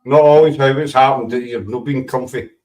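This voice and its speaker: A man with a Glaswegian accent hosting a casual chat, easygoing and quick to laugh. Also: medium pitch, steady tone, darkish tone, recorded from a distance. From Scotland. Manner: chatty, laughs easily. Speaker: podcast host.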